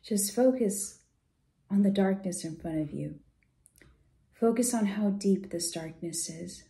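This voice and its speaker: Dark, creaky-voiced woman guiding meditation. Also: mid-range voice. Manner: soothing, gentle, unhurried with pauses. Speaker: guided visualization guide. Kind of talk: guided meditation, soft slow instruction.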